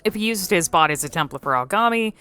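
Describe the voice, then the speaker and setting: A woman giving casual, musing commentary on a game, often pausing and repeating words as she thinks. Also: fairly low pitch, expressive, bright, forceful tone, clean tone, soft background music. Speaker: video creator commentating on a game.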